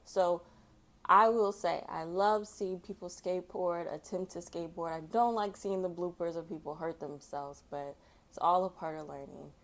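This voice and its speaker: A woman hosting a storytime, reading aloud for children in an expressive way and chatting casually between passages. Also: fairly low pitch, moderate pace. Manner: expressive, acts out sound effects and exclamations. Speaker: storytime host reading a picture book.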